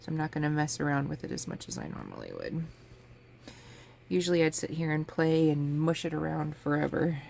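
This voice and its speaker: A sniffly woman hosting a casual craft tutorial, chatting in a relaxed way as she works at her table. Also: low voice, a little fast, vocal fry. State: sniffly from a cold. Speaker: crafting channel host. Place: home craft table with a fan running. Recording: faint fan noise in background.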